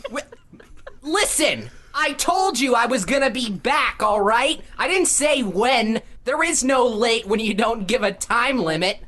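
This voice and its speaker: Cartoon demon villain, female performer: sly, theatrical, high and piercing, faint noise behind. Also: lively intonation, a little slow, fairly bright tone. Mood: defensive. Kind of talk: improvised cartoon fan dub comedy.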